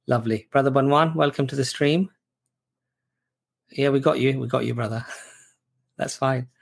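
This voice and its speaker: A man's voice: mid-range voice, steady tone, normal speed, gravelly. A man hosting a live call-in stream, talking conversationally, affirming his callers and repeating himself as he guides them. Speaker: host.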